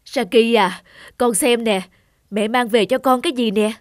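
Woman acting as cartoon mother, fairly high voice, gentle, emotional, music behind. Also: unhurried, close to an everyday voice. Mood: coaxing. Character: devoted, pleading mother of an injured girl.

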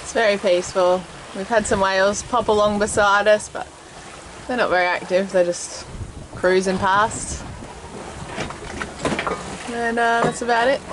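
A woman's voice: mid-range voice, unhurried, long pauses, a little hiss or hum, low-quality recording. A woman, chatting casually as she films herself at arm's length on a sailboat. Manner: chatty, relaxed, laughs often. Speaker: vlogger, sailing family mum. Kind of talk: casual travel vlog narration. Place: outdoors on a sailboat deck at sea. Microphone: handheld camera at arm's length.

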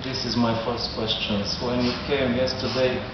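A middle-aged man hosts a festival talk, putting formal, orderly questions to his guest. He speaks into a handheld mic in a large tent. The sound is distant and echoey, with dull highs and steady noise behind. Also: moderate pace. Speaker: host. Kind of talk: festival stage interview.

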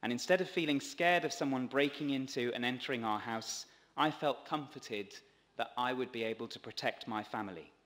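A man in his thirties, a priest, delivers a scripted sermon in a measured, earnest way from a church pulpit. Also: medium pitch, fairly bright tone. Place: large stone church, at a pulpit. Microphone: gooseneck lectern microphone. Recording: long church reverb.

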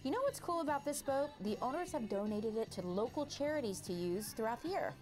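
A woman's voice: fairly high pitch, lively intonation, a little fast, darkish tone, faint music behind. A woman co-hosting a parade broadcast with cheery, playful, upbeat scripted commentary.

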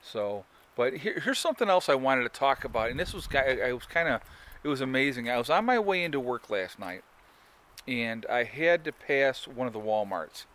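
A man's voice: medium pitch, animated, moderate pace, a bit bright. Rambling man vlogging outdoors. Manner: rambling, many fillers and restarts. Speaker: homesteading vlogger. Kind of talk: casual talk to camera. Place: outdoors in woods on a sunny day. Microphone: phone or camera held at arm's length.

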